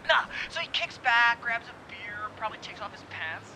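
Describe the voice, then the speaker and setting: High-voiced actor as excitable male stoner caller for audio drama; stammering, slangy, clear background noise. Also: moderate pace. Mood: eager, rambling. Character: excitable rambling stoner guy phoning a radio show. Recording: noisy call with street ambience behind.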